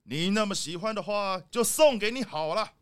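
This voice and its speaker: A man's voice: high-pitched, expressive, moderate pace, clean tone, no echo, studio quality. A man playing a vain, impatient rich leopard in a children's audio drama, self-important and dismissive. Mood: dismissive, annoyed. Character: vain, impatient, rich male leopard big shot, a father.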